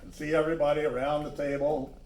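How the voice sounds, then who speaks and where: A man reading a children's story aloud, pausing to add simple asides about the pictures. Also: a little high, flat delivery, vocal fry, a little mellow. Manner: pauses to point out the pictures. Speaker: storybook reader. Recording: echoey room, distant microphone.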